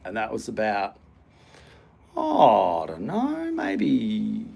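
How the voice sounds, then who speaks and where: A man's voice: lowish voice, huge pitch swings, slow, creaky, a little mellow, breathy edge. A man chatting casually and a bit hesitantly while showing viewers around his home. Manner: rambling, hesitant, self-deprecating. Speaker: vlogger. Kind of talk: casual home tour chat. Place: home hallway and studio room.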